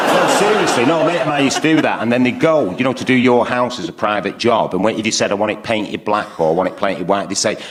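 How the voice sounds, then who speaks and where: Male panel comic, British, lowish voice, loose rambling anecdotal banter. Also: quick pace, background noise. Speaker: panel show guest.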